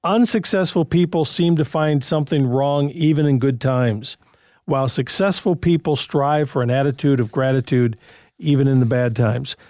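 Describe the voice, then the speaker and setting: A man's voice: medium pitch, moderate pace, darkish tone, no echo, studio quality. A man hosting a call-in advice show on the radio, talking in a loose, conversational way and chuckling often. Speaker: radio host.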